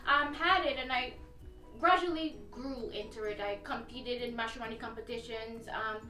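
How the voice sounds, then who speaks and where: A woman from Guyana, a dancer and designer, telling her story in animated casual chat. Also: a little high, moderate pace. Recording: roomy, distant mic, faint music.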